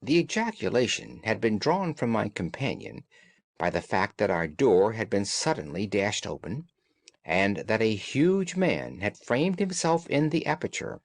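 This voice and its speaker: A man reading classic fiction as an audiobook narrator, in a measured, dramatic storytelling manner. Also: fairly low pitch, big pitch swings, dry recording.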